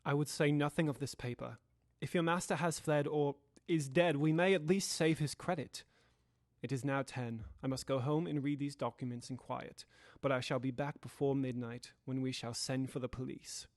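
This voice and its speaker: A man's voice: mid-range voice, steady tone, normal speed, dry recording, clean studio sound, natural voice. A young man playing an anxious, cautious Victorian lawyer in an audio drama, with a formal, dramatic delivery. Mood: grave, resolved. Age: young adult.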